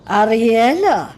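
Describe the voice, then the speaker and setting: Woman acting an excitable, slow-talking, money-minded mother. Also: a little high. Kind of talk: Ilocano radio drama acting. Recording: distant mic with faint noise.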